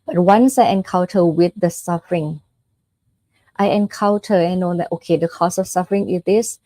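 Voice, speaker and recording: A woman's voice: lowish voice, moderate pace, dark tone, no echo. A woman from Thailand with a Thai accent giving an informal dharma talk as a Buddhist nun, explaining step by step in a conversational way with check-in questions.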